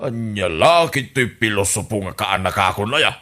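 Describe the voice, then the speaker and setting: A man's voice: fairly low pitch, expressive, moderate pace, pushed and bright. A man voicing a cranky middle-aged uncle who blusters at a teasing youngster. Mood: gruff. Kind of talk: comedy radio drama acting.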